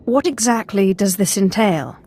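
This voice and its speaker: An actress playing a cool, commanding Sith acolyte interrogating someone in a video game, controlled, clipped and authoritative. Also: female voice, mid-range voice, animated, moderate pace, mellow, husky, soft background music. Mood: composed, confident.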